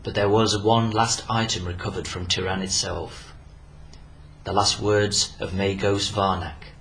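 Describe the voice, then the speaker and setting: A man's voice: on the low side, fairly even intonation, unhurried, slight background noise. A man narrating a scripted war story in a solemn, portentous, dramatic manner. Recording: loud music under the voice.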